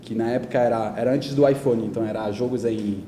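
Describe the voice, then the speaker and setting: A man in his thirties with a French accent and a very mellow, dark tone. He talks conversationally as an interview guest, checking in with listeners. The room is echoey, with faint noise behind him. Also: medium pitch, steady tone, fast talker. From France. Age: 30s. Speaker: guest speaker, digital media company executive. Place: indoor event talk before an audience.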